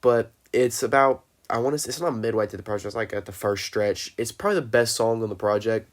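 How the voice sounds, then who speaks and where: Congested young man, low voice. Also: fast talker. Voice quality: deeper than usual from congestion. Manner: rambling, self-correcting, many fillers. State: sick and congested. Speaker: music reviewer. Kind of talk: casual album review.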